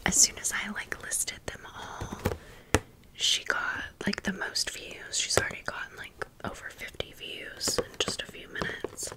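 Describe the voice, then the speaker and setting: A woman whispers softly and breathily, with almost no voiced sound. She takes her time, speaking very slowly in a casual, chatty ASMR style, like someone sharing a story. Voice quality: soft breathy unvoiced whisper. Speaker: ASMR video creator.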